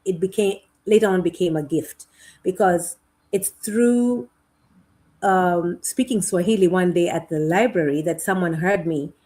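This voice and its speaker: Middle-aged Kenyan-accented woman, lowish voice, unhurried thoughtful guest on a video call. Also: warm tone. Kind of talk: conversational interview.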